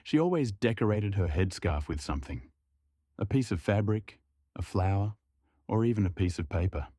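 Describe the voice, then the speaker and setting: A man's voice: fairly low pitch, lively intonation, normal speed, dark tone, breathy edge, no echo, clean studio sound. A man reading a scripted folk horror story as a narrator.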